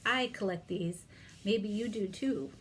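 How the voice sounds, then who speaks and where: A woman telling a story to young children as a librarian at storytime, expressive, with chatty and sometimes whispered asides to her listeners. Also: mid-range voice, a little slow. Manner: asks young listeners simple questions. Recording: noticeable background noise.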